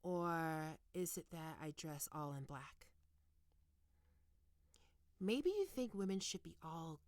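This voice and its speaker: A woman playing a stern, teasing goth college professor in an ASMR roleplay, speaking deliberately and condescendingly into a close microphone. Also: low-pitched, lively intonation, no room sound. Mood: probing, taunting. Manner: condescending, taunting, deliberate with pauses. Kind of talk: ASMR roleplay, scripted monologue to listener.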